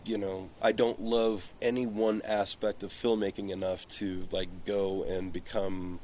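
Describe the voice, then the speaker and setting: A man in a casual podcast conversation, thinking aloud in a loose, halting, reflective way on an outdoor porch. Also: fairly low pitch, level tone, a little mellow, a little hiss or hum. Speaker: podcast guest, filmmaker.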